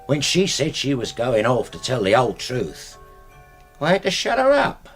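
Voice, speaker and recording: A husky, deferential British servant. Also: male voice, medium pitch, wildly swooping pitch, moderate pace, faint music behind. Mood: matter-of-fact. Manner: deferential, says "sir" constantly, plain-spoken. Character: deferential male servant, loyal ex-soldier, coolly confessing murder. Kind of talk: British radio drama acting.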